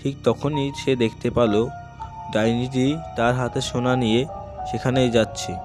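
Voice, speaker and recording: A man tells a scripted children's cartoon story as narrator. His delivery is slow and measured, at a middle pitch, with music playing lightly underneath. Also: level tone.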